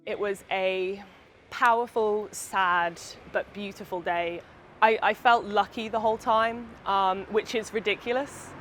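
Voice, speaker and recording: A woman's voice: medium pitch, fairly even intonation, a little slow, a bit bright, faint music behind. A woman who took part in a protest, speaking thoughtfully and a little hesitantly in an interview. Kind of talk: reflective interview.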